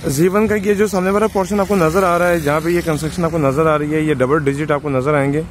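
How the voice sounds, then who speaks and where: A man with a Pakistani Urdu accent giving an informal real estate site tour from inside a moving car, in a matter-of-fact way with pauses and fillers. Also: on the high side, fairly even intonation. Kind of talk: casual property tour commentary. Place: in a car driving through open roads. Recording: road noise from a moving car. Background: car and road noise.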